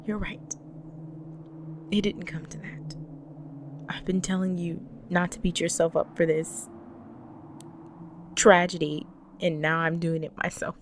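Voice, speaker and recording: A woman playing a grave, devoted vampire wife in an intimate audio roleplay, speaking solemnly to the listener in an often breathy, whispered delivery. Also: on the low side, steady tone, takes it slowly, long pauses, a little hiss or hum. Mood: tender, regretful.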